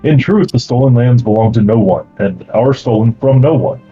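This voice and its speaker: Mellow, husky man running a tabletop game. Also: medium pitch, normal speed, highs cut off, soft background music. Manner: steady reading that turns into relaxed explaining with fillers. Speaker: game master. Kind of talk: reading campaign lore and explaining rules.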